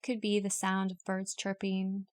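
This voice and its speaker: A woman guides a relaxation practice from a script. Her medium-pitched voice stays very even, with little melody. She gives each instruction calmly and takes her time. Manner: calm, unhurried, instructional. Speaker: yoga nidra meditation guide. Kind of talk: guided meditation, read from a script.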